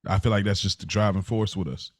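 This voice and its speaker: An American man with a somewhat low voice chats as a podcast co-host. His talk is casual and informal, with everyday slang. The recording is very dry, free of echo. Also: fairly even intonation, fast. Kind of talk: casual conversation.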